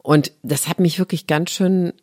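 A woman's voice: fairly low pitch, fairly even intonation, moderate pace, a little mellow, no echo, studio quality. Earnest middle-aged woman explaining at length. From Germany. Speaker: guest, founder of a health platform. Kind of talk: podcast conversation.

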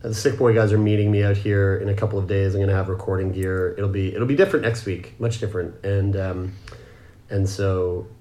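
A man's voice: low voice, fairly even intonation, brisk, warm tone. A man hosting a podcast over a remote internet call, laid-back and swearing freely. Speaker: podcast host. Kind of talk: casual podcast chat.

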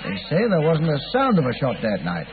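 British victorian copper, matter of fact but puzzled, noisy tinny old radio sound. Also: male voice, fairly high pitch, huge pitch swings, brisk. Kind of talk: 1950s radio drama acting.

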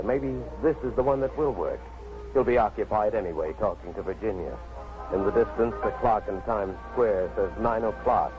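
An actor as a grave, urgent narrator, delivering scripted present-tense narration in a 1950s radio suspense drama. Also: male voice, lowish voice, lightly acted. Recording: muffled 1950s radio recording over music. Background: dramatic music.

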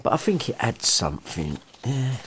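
A man's voice: medium pitch, sing-song, normal speed, husky. A man narrating a storybook comically, with irreverent, sarcastic, sweary asides and a theatrical manner. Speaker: story reader and podcast host.

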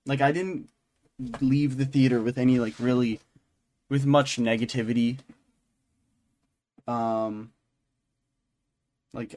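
A man's voice: medium pitch, darkish tone. A man co-hosting a podcast, chatting casually about pop culture and thinking aloud as he rambles. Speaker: podcast co-host.

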